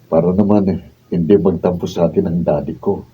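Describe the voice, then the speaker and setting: An actor playing a stern, protective husband and older brother in a radio drama. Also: male voice, sits low, steady tone, brisk, over loud music, lightly acted. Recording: distant, muffled room recording.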